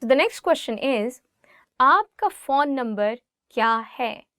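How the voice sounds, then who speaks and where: A woman with a Pakistani accent and native Urdu teaches phrases as a lesson host. Her voice is on the high side, clean and resonant. She pronounces examples deliberately, in a dry recording with very little room sound. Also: animated, fairly bright tone, clean tone, no room sound. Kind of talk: language lesson, phrases given in two languages.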